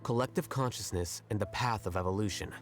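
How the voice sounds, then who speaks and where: A man acts a self-assured young scholar from a video game. His voice sits low, and he explains things in a measured, matter-of-fact way with cool bluntness. Soft music plays behind him. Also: close to an everyday voice. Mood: explanatory.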